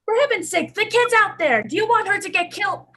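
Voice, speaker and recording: A woman's voice: very high voice, lively intonation, brisk, pushed and bright, heavily acted. A woman playing an alarmed, impatient father in a radio play, urgent and demanding in manner. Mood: frightened, exasperated. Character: Mr. Morris, alarmed and impatient father of a young girl.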